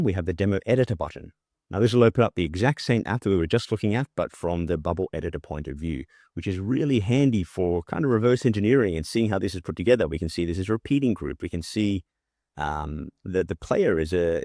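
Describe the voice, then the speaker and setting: A man with a low-pitched voice presents a software tutorial. He explains each step in an instructional screen-share style. The voice-over is dry, with very little room sound. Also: no room sound.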